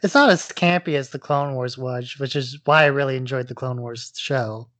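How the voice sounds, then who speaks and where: A man's voice: mid-range voice, moderate pace, a bit bright, no echo. A man co-hosting a podcast, talking through his views in a casual fan discussion. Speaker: podcast co-host.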